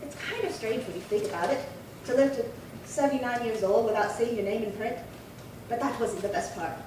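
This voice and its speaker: A woman acts a resentful spinster of nearly eighty in a radio crime play. The voice is on the high side, querulous and old-fashioned in its phrasing. It sounds distant, in a very echoey space. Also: brisk, close to an everyday voice. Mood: smugly satisfied. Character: resentful, defiant spinster sister aged seventy-nine. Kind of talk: amateur re-creation of 1950s radio crime drama. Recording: roomy echo, distant mic, faint hiss.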